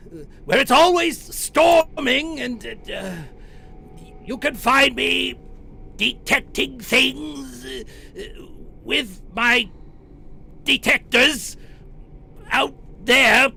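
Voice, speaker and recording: Ghoulish old-radio horror host: eccentric museum curator, slow halting delivery, high creaky voice, wild swoops. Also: male voice, sharp tone, exaggerated voice. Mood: gleefully macabre. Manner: theatrical, halting, drawn-out delivery with stammering asides.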